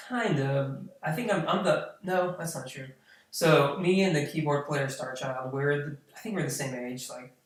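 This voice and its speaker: A thirty-year-old man chatting as a podcast guest, relaxed and talkative, with his speech dotted with "like" and "you know". His voice sits at an ordinary pitch for a man. The sound is distant and very roomy. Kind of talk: casual chat. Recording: echoey room, mic far off.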